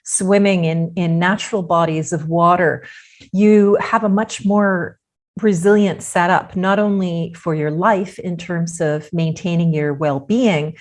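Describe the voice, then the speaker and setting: Woman, low voice, measured podcast guest, frequent fillers. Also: fairly even intonation. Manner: thoughtful, measured, many "you know" fillers. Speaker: author interviewed as guest. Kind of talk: podcast interview.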